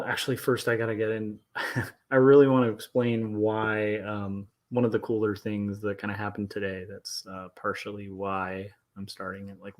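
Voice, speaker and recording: A man in his thirties, a comic artist chatting casually on a live stream, talking quietly and loosely so he won't wake his household. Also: fairly low pitch. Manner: soft-spoken, keeping his voice down late at night; loose and rambling, full of likes and ums. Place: home studio at night.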